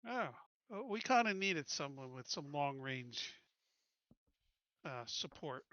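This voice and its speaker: Man as tabletop mercenary recruiter, businesslike, welcoming, slightly high voice, very dry muffled recording. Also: big pitch swings, slow pace, a bit bright, no echo, exaggerated voice.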